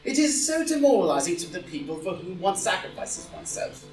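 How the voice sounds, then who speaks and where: A woman playing a witty, dandyish gentleman, flippant yet loyal, with dry, declamatory repartee in an audio drama reading of a play. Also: on the low side, sing-song, brisk. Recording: roomy, distant live reading with music.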